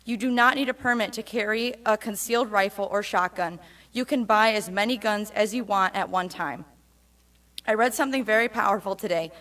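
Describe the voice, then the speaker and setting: A woman, a church member, giving a steady, emphatic public reading of a speech in a church. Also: mid-range voice, brisk.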